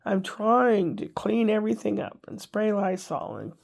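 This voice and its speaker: An older woman's stuffy, congested voice with a gravelly edge, chatting in a rambling, easygoing way. She talks at a middle pitch and tosses off dry little jokes at herself. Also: animated, a little slow, a little mellow. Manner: rambling, chatty, wry asides. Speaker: vlogger. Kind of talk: casual chat to camera.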